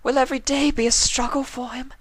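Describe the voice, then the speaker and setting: Man voicing a female pony, high pitched, tearful, pleading, remorseful, dry close sound. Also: flat delivery, a little fast, no echo. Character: young female unicorn pony, elegant and remorseful.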